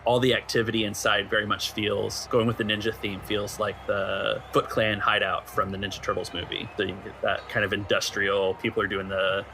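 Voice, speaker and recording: A man narrating a tabletop roleplaying game as game master, setting scenes in a halting, conversational way. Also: on the low side, little pitch movement, creaky, pushed and bright, a little hiss or hum.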